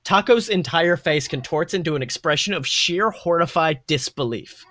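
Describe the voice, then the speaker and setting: Male podcast narrator, slightly high voice, animated and expressive, reading fiction aloud. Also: moderate pace, no echo, studio quality.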